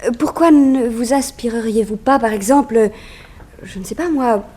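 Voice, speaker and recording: A tactful female assistant to a detective played by an actress in a 1960s radio drama. Her voice is fairly high, deferential and gently persuasive. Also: normal speed, close to an everyday voice. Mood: polite, suggesting. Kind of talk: 1960s French radio serial acting.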